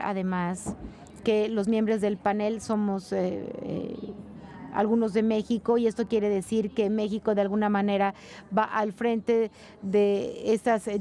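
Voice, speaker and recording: A woman giving live spoken interpretation of a conference panel, brisk, with frequent fillers. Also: mid-range voice, dark tone. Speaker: simultaneous interpreter.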